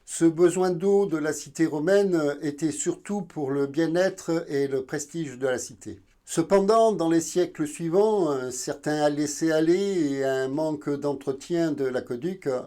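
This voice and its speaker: Male video host from southern France: fairly high but soft-toned voice, halting explanatory delivery. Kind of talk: informal educational narration.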